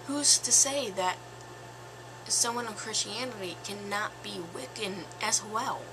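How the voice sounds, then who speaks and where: A woman in her twenties with a slightly raspy voice, giving her opinion in a casual vlog, slow and thoughtful with pauses and fillers. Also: mid-range voice, background noise. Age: 20s. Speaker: vlogger.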